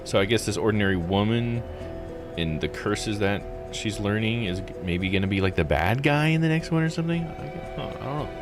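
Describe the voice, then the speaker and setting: A man's voice: fairly low pitch, lively intonation, brisk. A man streaming a visual novel, thinking aloud in a laid-back, jokey way as he plays. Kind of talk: casual game commentary with read-aloud text. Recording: voice over game music. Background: video game music.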